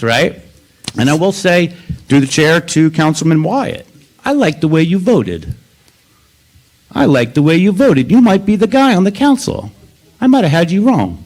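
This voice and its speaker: Emphatic man giving public comment. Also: medium pitch. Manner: emphatic, repetitive, confrontational. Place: council meeting chamber.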